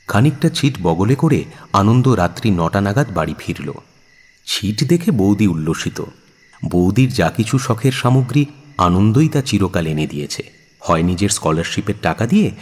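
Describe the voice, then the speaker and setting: A man's voice: fairly low pitch, a little mellow, slightly rough. A man narrating a literary story in a dramatic audio-book style. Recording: long reverb, music behind. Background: music.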